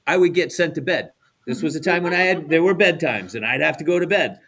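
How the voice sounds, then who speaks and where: A man's voice: fairly high pitch, lively intonation, fast talker, a bit bright, slightly rough. A middle-aged American man co-hosting a podcast, telling casual anecdotes in short conversational phrases. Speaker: podcast co-host.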